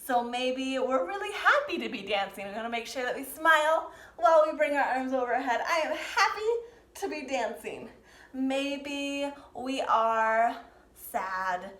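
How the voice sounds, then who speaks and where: A woman teaching dance to young learners, explaining and demonstrating step by step with gentle, encouraging prompts. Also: high-pitched, expressive, unhurried. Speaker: dance teacher leading a children's pantomime lesson. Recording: roomy, echoing sound.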